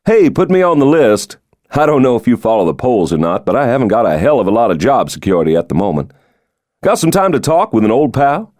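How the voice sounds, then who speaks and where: A man's voice: mid-range voice, big pitch swings, fairly quick, darkish tone, dry recording, voice pushed up, close to an everyday voice. A man plays a commanding yet breezy President in a thriller audiobook. The voice rides well above a narrator's register, swinging freely with folksy, joking good cheer, in a dry recording. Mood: friendly, boastful. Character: breezy, folksy, commanding male American President in middle age. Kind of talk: audiobook character dialogue.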